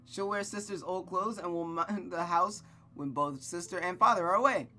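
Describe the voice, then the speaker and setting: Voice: mid-range voice, moderate pace, bright, forceful tone, faint music behind, lightly acted. A reader voicing a headstrong, chatty young girl from a visual novel, earnest, eager and insistent. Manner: eager, emphatic, pleading. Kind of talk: visual novel read-aloud character voice.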